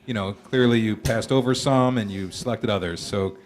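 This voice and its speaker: A man's voice: on the low side, moderate pace, heavy background noise. A man moderating a live event and putting questions to the performers. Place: live readers theater event at a college library.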